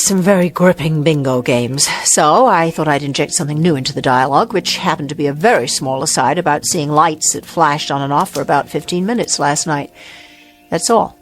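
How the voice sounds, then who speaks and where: An actress playing a haughty, sharp-tongued fashion editor in a radio drama, wordy and sarcastic to hide her nerves. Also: sits low.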